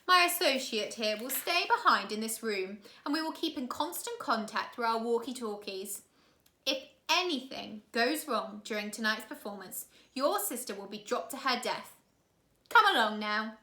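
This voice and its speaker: Woman playing a loud, commanding villainous count. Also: fairly high pitch, expressive. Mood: gloating, threatening. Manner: commanding, drawn-out, threatening. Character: menacing, gloating villainous count, adult man. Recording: roomy, echoey room sound.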